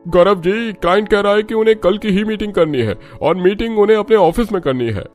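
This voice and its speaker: A man's voice: sits high, fairly quick, natural voice. A man playing an insistent business colleague in a cartoon story. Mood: businesslike, pressing.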